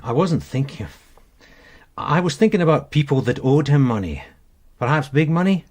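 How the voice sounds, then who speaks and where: Wry British detective sergeant, lively intonation. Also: male voice, fairly high pitch, normal speed. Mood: probing. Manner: wry, matter-of-fact. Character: loyal detective sergeant, wry, plodding, susceptible to women. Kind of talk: British radio crime drama acting.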